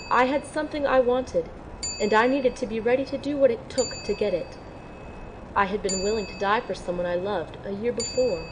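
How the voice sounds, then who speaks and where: A woman's voice: medium pitch, natural voice. A woman playing a wounded, self-doubting young woman in an audio drama, speaking in an earnest, introspective way. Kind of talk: audio drama dialogue and first-person narration. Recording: noisy ambience behind the voice.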